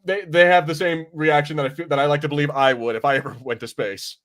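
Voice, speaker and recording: A man's voice: fairly high pitch, steady tone, fast, fairly bright tone. A man hosting a podcast, chatting casually in a rambling way and acting out imagined lines as he reviews. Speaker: podcast host.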